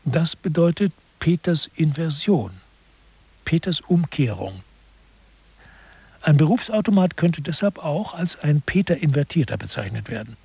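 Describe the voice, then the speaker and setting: A man playing a lecturer who soberly and precisely expounds satirical management theory in a radio play, in a husky voice. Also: on the high side, sing-song, unhurried, dark tone, no echo, heavily acted. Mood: sober, explaining.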